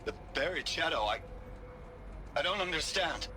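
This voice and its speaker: A man's voice: a little high, expressive, moderate pace, heavy background noise, close to an everyday voice. An actor playing a proud, pious male religious zealot in a video game, with a theatrical, solemn and reverent delivery. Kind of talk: scripted video game acting. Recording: music clearly behind.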